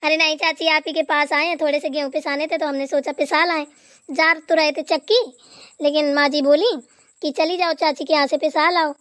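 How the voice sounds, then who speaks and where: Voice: sits high, level tone, a little fast, a bit bright, no echo, studio quality. A performer voicing a dutiful, overworked village daughter-in-law in a Hindi cartoon, chatty and deferential. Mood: polite, tired. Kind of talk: Hindi family cartoon dialogue.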